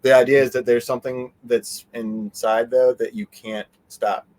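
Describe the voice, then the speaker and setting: A man giving a casual interview as a guest, animated and meandering, often trailing off mid-sentence. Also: medium pitch, moderate pace, low-quality recording. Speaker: interview guest, fan convention organizer. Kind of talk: casual rambling interview chat.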